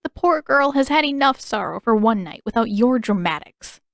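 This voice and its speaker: A woman voicing a small, sharp-eyed old village woman who is motherly, fussing and bossy, in a story. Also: a little high, animated, moderate pace, gravelly, no echo, clean studio sound. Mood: scolding.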